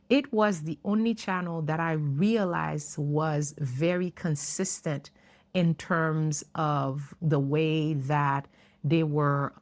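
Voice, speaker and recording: A woman in her fifties tells her own story to camera in a low, earnest voice. English is not her first language, and she talks reflectively, laughing lightly now and then. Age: 50s. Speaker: YouTuber.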